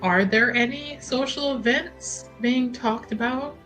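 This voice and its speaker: A woman's voice: fairly high pitch, slow pace, a bit bright, soft background music. A woman playing a tabletop roleplaying game, casually thinking aloud about what her character will do. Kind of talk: casual in-game planning chat.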